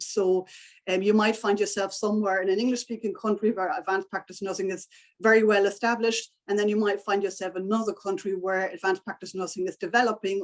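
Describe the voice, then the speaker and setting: A woman whose first language is German, giving a conference presentation over a video call, explaining steadily with many fillers and restarts. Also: mid-range voice. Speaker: invited speaker.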